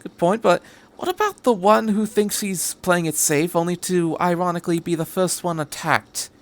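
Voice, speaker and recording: A man voicing a brisk, quirky time-travelling alien in a story reading, breezy and matter-of-fact in conversation. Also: high-pitched, voice pushed up.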